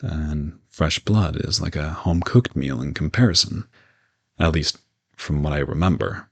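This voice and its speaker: A man playing a gentle, courteous old vampire in an intimate audio roleplay, reassuring and lightly teasing as he speaks to the listener. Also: very deep voice, a little slow, heavily acted. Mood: conversational, friendly. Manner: soft-spoken reassurance, politely formal with teasing asides.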